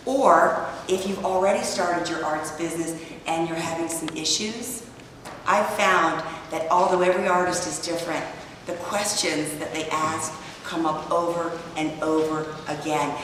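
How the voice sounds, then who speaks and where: A hoarse woman presenting a business workshop in an open, inviting way, sometimes trailing off and restarting. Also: deep voice, a bit bright. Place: event hall in an arts building. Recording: echoey hall, mic far off, background noise.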